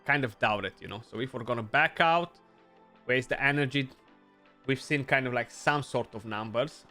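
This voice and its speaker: Bright-voiced Romanian-accented male gamer. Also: medium pitch, normal speed, faint music behind. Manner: casual, thinking aloud, frequent "you know". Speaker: gaming YouTuber. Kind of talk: gameplay commentary.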